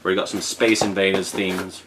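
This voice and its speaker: A man's voice: sits low, little pitch movement, fairly quick. British man rambling playfully over background noise. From Britain. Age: 30s. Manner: playful mock-serious rambling with emphatic repetition. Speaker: unboxing video host. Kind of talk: casual vlog unboxing commentary. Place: indoors at home in an apartment. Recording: clear background room noise.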